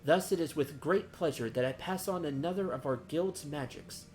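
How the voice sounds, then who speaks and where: A man voicing a calm, wise guildmaster and mentor of nature magic in a fantasy game, formal and measured. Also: fairly high pitch, lightly acted. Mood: solemn, reassuring. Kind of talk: reading fantasy game dialogue aloud.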